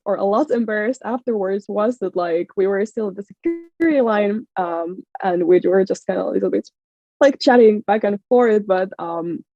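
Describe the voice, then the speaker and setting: A woman from Finland with a strong Finnish accent, telling a story as a podcast guest, excitable, laughing often and full of fillers and restarts. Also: medium pitch, normal speed. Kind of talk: casual storytelling chat. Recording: slightly dull lo-fi sound.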